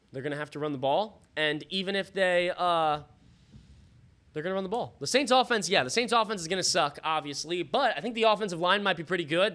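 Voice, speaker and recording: A man hosting a live fantasy football stream in a casual, slangy, sweary way, thinking out loud, his voice starting to give out. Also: high-pitched. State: losing his voice. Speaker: livestream host. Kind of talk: casual live fantasy football draft commentary with chat shout-outs.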